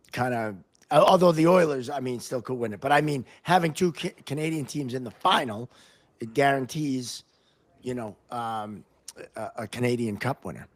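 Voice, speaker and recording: A man hosting a podcast, chatting casually about hockey in a loose, halting way as he thinks aloud. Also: mid-range voice. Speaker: podcast host. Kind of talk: casual sports chat.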